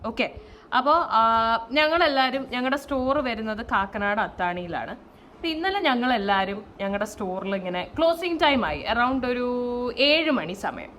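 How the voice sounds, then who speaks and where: A woman telling a story in a casual, animated vlog style, mixing in English phrases. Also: a little high, unhurried. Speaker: vlogger and saree store owner. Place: at home, indoors. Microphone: no external microphone, camera's own mic. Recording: faint noise, no microphone.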